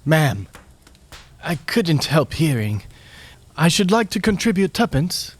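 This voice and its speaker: A man playing a meek, kindly, timid poor clerk in a radio play, deferential and hesitant, with a husky voice. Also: fairly high pitch, big pitch swings, slow, full character voice. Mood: timid, polite.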